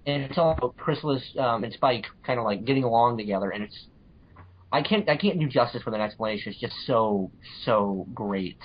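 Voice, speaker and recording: A man with a husky, medium-pitched voice speaks as a podcast co-host. He recommends things casually and with gushing enthusiasm. The recording is somewhat dull and lo-fi. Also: expressive, brisk. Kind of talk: casual panel chat, recommending stories. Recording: dull, lo-fi audio.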